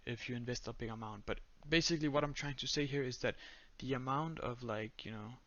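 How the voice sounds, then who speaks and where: A young man with a Danish accent giving a step-by-step screen-recorded tutorial in a flat, monotone voice full of "uh"s and "um"s. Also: medium pitch, fairly quick, no room sound, dull audio. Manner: monotone, many fillers. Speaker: tutorial creator.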